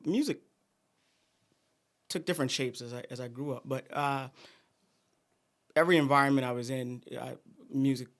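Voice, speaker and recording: A middle-aged man from Guyana, a singer, chatting in an interview in a soft, halting way with many fillers. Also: medium pitch. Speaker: guest singer. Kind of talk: casual interview chat.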